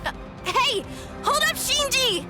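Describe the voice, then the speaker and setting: A brash young companion with a piercingly high, swooping voice. The squeaky protests sit under loud game music. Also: female voice, sing-song, slow, full character voice. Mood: indignant. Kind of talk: anime game cutscene dialogue. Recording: loud music over dialogue.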